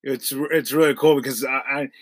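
A man's voice: mid-range voice, steady tone, moderate pace, breathy edge, dry recording, clean studio sound. A man interviewing a guest in a friendly, supportive way, often repeating words as he goes. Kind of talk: casual interview talk.

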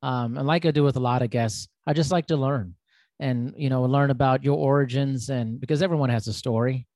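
A man's voice: mid-range voice, steady tone, fairly quick, a little mellow, no echo. A man hosting a podcast interview in a laid-back, friendly manner. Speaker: podcast host. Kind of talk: casual interview chat.

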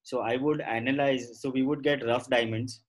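Interview guest: male, middle-aged, Indian accent, medium pitch, halting explanatory conversational delivery. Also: fairly even intonation. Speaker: guest, professional photographer.

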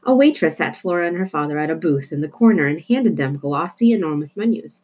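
Woman reading a children's book aloud, lowish and breathy, playful emphasis. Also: fairly quick. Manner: expressive storytelling, playful emphasis. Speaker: reader.

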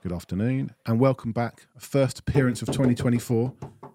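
A man hosting a casual fan podcast, easygoing and quick to laugh as he runs through the news. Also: medium pitch, noisy background. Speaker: podcast host.

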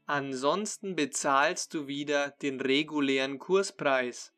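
A man who teaches German online talks slowly and deliberately, laying out a course sale straight to the viewer. His voice is bright and clear, sitting a bit high for a man. Soft instrumental music plays under him. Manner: slow, deliberate, addresses the listener directly. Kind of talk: promotional sales pitch to learners.

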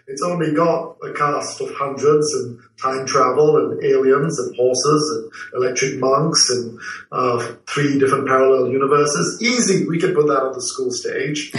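A man in his forties with a mid-pitched voice speaks as a guest, reflective and rambling as he tells stories. He sounds set back from the mic, in a roomy, echoing space. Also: unhurried, far from the mic. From Malaysia and London. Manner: reflective, storytelling with many fillers. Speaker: guest, TV producer and writer. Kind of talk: relaxed interview answers.

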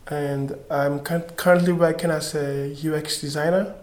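A man raised in Ghana introducing himself as a podcast guest, steady and matter-of-fact. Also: on the high side, moderate pace, echoey room. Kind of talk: casual interview.

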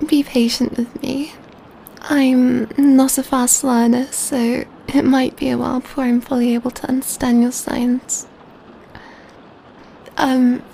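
Woman acting tender, very slow-spoken doting vampire lady. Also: sits high, creaky, a little hiss or hum, exaggerated voice, rough recording. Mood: gentle, encouraging. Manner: tender, slow, hushed, softly giggling delivery. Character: gentle, doting female vampire pampering her adopted catgirl lover. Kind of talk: soft-spoken ASMR roleplay.

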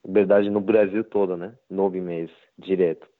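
Interviewed footballer: Japanese-accented man, low voice, halting non-native Portuguese over a thin phone connection. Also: flat delivery. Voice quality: halting, simple Portuguese with many fillers. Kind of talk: casual interview. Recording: tinny phone-line sound.

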